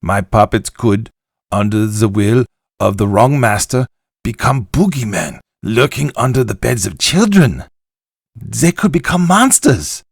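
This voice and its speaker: An actor playing a weary, haunted old puppet maker, reading his journal in a grave, deliberate, theatrical way for a game audio log. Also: lowish voice, sing-song, creaky, breathy edge, dry recording, studio quality, heavily acted. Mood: resigned, reflective. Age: older.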